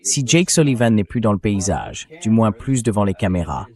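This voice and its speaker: Conversational male host, slightly low voice. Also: big pitch swings, normal speed, darkish tone. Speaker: interview host. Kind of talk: dubbed conversational interview.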